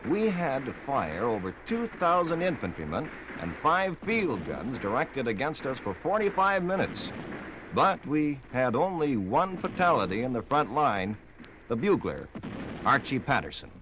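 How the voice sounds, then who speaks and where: A lieutenant over gunfire. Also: male voice, medium pitch, big pitch swings, measured pace, background noise. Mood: matter-of-fact. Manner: plain, matter-of-fact soldier's account. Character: young army lieutenant recounting a battle. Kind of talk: 1950s radio drama reading. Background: gunfire and artillery sound effects.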